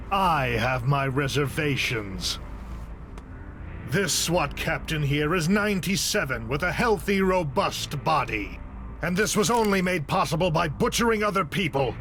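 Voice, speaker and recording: A grieving male zealot in a game cutscene, with a fairly high voice. He speechifies grandly as a hostage-taker convinced of his cause. Faint music plays behind him. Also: a bit bright. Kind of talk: dramatic video game cutscene monologue.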